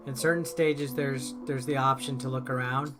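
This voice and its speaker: A man's voice: mid-range voice, fairly even intonation, normal speed. A man giving informal, rambling gameplay commentary as a video host, explaining things step by step. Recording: music behind the voice. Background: game music.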